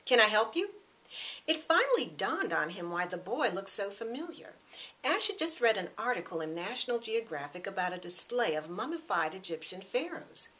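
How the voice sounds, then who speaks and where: A middle-aged woman reading her own novel aloud in expressive scripted narration. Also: medium pitch, moderate pace.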